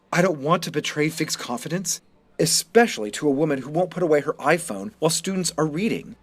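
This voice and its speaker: A man reading a first-person story as a wounded, resentful high school student, in scripted narration. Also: breathy edge. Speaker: reader of a short story.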